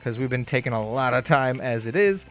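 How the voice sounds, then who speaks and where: A man hosting a card game channel, chatting casually through an unboxing, easygoing and upbeat with lots of fillers, his voice a bit hoarse. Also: mid-range voice, lively intonation, fairly quick, fairly bright tone. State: slightly hoarse.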